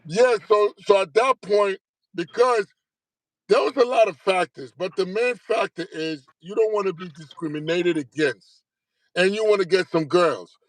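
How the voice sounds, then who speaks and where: A man's voice: high voice, moderate pace, no echo, low-quality recording. A middle-aged man from Haiti, a guest telling stories in a casual interview, emphatic, profane and streetwise, always asking if you get what he's saying. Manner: repeats tag questions like "you know what I'm saying".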